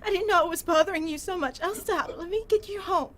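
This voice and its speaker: A woman's voice: very high voice, fast, fairly bright tone. A woman playing a jealous, needy, volatile American girlfriend in an audio drama, very emotional. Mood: tearful, pleading. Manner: emotional, accusing or pleading.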